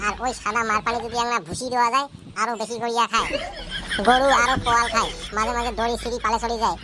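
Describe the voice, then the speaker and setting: A woman's voice: on the high side, steady tone, fast, close to an everyday voice. A woman voicing a cheeky, boastful village school pupil in a comic cartoon, brash and talkative, with a North Bengal accent. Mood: eager reciting. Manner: brash, rattling recitation and backtalk. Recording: loud noise behind the voice.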